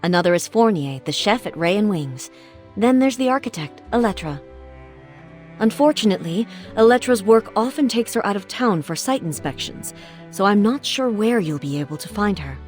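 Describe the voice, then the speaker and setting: A woman's voice: mid-range voice, expressive, normal speed, darkish tone, natural voice. A woman playing an efficient, helpful town organiser explaining things in a video game. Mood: helpful, businesslike. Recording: music under the voice.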